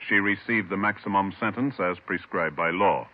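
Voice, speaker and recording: A man's voice: low-pitched, normal speed. A man making formal scripted announcements for an old radio police programme. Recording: dull old radio recording, music behind.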